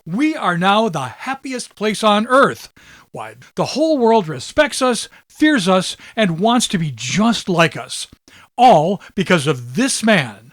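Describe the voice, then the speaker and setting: An actor playing a fawning, pompous defense attorney in a satirical radio courtroom drama, effusive and oratorical. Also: male voice, high voice, very animated, takes it slowly, fairly bright tone, no room sound, studio quality, full character voice. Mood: fawning, grandiose. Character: fawning, grandiose defense attorney, a flattering loyalist.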